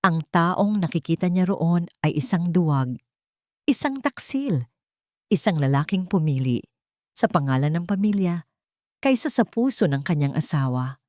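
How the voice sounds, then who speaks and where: A woman's voice: fairly low pitch, expressive, measured pace, a little mellow, dry recording, clean studio sound. A woman reading a scripted, dramatic love story as its narrator.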